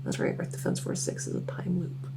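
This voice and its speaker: A woman's voice: fairly quick, very noisy, natural voice. A woman voicing a lonely, socially awkward vampire who rambles enthusiastically in an ASMR audio roleplay. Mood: hushed excitement. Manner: rambling, enthusiastic info-dumping, many fillers. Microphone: close ASMR microphone.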